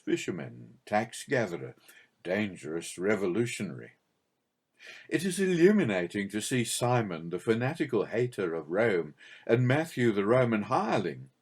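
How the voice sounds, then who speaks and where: A man reading a religious audiobook as scripted narration, in a measured, formal and expressive way. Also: fairly low pitch.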